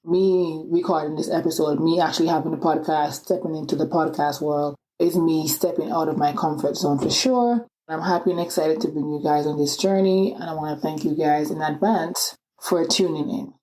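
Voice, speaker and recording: Woman, twenties, antiguan accent, podcast host, low voice, upbeat and chatty. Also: fairly even intonation, moderate pace, few pauses, warm tone, low-quality recording. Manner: upbeat and chatty, many "you know" asides. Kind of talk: solo podcast monologue.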